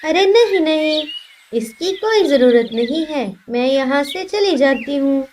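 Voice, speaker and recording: Woman voicing a high, sweet cartoon mother sparrow. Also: lively intonation, a little slow, darkish tone, slight background noise. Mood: apologetic. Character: gentle, polite, trusting mother sparrow. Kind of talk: Hindi children's cartoon voice acting.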